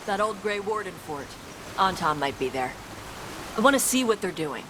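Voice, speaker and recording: A woman's voice: medium pitch, sing-song, normal speed, noisy background, natural voice. An actress playing a tough dragon hunter woman in a video game, speaking in a terse, matter-of-fact way. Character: blunt, tough Qunari dragon hunter woman from a seafaring land. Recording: game sound effects over voice.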